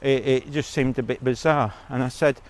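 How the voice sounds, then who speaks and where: A man's voice: mid-range voice, steady tone, moderate pace, a little mellow. Rambling man talking on a walk. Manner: rambling, digressive, blunt. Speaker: vlogger. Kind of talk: casual rambling monologue.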